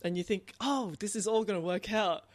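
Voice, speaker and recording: A woman co-hosting a podcast in a casual, conversational way. She sits at a middle pitch and talks informally, slipping into quoted thoughts as she goes. The sound is dry, with very little room. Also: fairly quick, dry recording. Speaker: podcast co-host. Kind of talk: casual book discussion chat.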